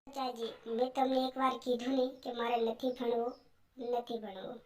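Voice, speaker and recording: A woman's voice: fairly high pitch, level tone, normal speed, a little hiss or hum, close to an everyday voice. A woman voicing an earnest, poor farm boy in a cartoon story. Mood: stubborn, proud.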